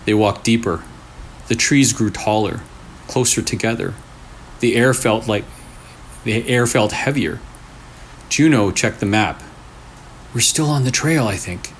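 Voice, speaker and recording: A man reading a scary story aloud in short, deliberate phrases with pauses for suspense. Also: fairly low pitch. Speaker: storyteller, channel host. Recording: clearly noisy room recording.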